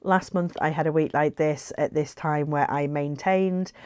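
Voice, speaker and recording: A woman's voice: low voice, fairly even intonation, normal speed, a little mellow, smooth, dry recording. A woman talking casually to camera as a weight-loss vlogger, chatty and reflective with frequent ums and light laughs.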